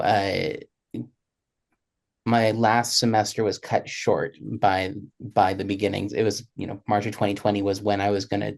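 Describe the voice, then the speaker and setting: A man in his twenties with a fairly low voice talks reflectively as a panelist. He is earnest and halting, often restarting a phrase to put it more exactly. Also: vocal fry, darkish tone. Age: 20s. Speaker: panelist, freelance musician.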